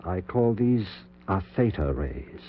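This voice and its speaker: An actor playing an obsessed middle-aged surgeon in a 1940s radio horror drama, giving intense, clipped, commanding orders. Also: male voice, low voice, big pitch swings, takes it slowly, heavily acted. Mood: confident, secretive. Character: obsessed middle-aged male surgeon reviving his dead wife. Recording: muffled, noisy, humming 1940s radio recording.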